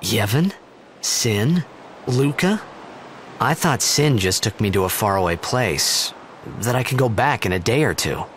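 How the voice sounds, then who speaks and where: A man playing a brash, bewildered teenage athlete in a video game, youthful and expressive, sometimes hesitant. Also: on the low side, unhurried, a little hiss or hum. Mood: reflective. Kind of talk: video game dialogue and narration.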